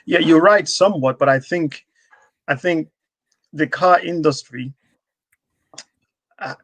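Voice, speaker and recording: A man's voice: medium pitch, fairly bright tone, dry recording. A man hosting a live stream talk show, answering in a thoughtful, agreeable way. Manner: thoughtful, agreeable, backchannels a lot. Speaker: host. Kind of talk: casual live stream chat.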